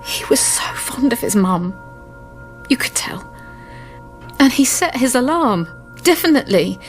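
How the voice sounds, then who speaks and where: A woman's voice: a little high, sing-song, measured pace, soft background music. An actress playing an upset, insistent woman recounting events emphatically in a radio drama. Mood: distressed, insistent. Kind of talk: naturalistic radio drama acting.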